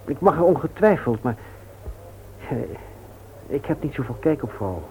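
An actor playing an earnest, reserved widowed father in a radio play, speaking in a hesitant, halting way. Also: mid-range voice, animated, mellow, close to an everyday voice. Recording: tinny old radio recording with hiss.

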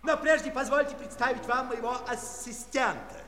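An actor playing a pompous, excitable circus magician in a children's audio play, announcing grandly and drawing out his words. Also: very high voice, normal speed. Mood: showy, delighted. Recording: echoey hall sound, faint crowd behind.

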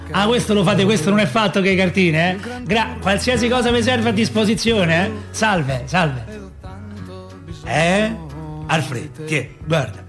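An actor playing a talkative middle-aged working-class Roman father, a doorkeeper, in an expressive, rambling radio-play monologue with a Roman accent and a husky voice. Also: high-pitched. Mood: affable. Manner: expressive, rambling, repeats himself and asks rhetorical questions. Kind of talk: theatrical radio monologue, colloquial storytelling.

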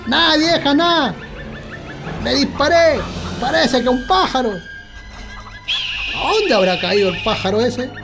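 A man's voice: very high voice, animated, unhurried, gravelly, pauses often, exaggerated voice. A man acting a stubborn, skeptical rural husband in a radio drama, with colloquial Chilean speech. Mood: agitated, reassuring. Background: prominent music.

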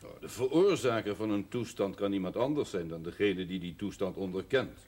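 An actor playing a wry, dignified doctor in a television period drama, wordy and dryly humorous. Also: male voice, deep voice, animated, brisk, a little mellow. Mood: dry, assured. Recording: faint noise on old television soundtrack.

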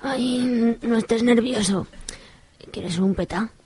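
A woman's voice: on the high side, slow pace, mellow, breathy edge, dry recording, full character voice. A woman playing a flippant, scheming fortune-telling witch in an amateur comic radio soap, casual about gruesome things. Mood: flippant, soothing.

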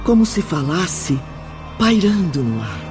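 A Brazilian man narrating a spiritual radio drama solemnly, with measured dramatic pauses. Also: fairly high pitch, big pitch swings. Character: solemn male storyteller of a spiritual tale. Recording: music bed behind the voice.

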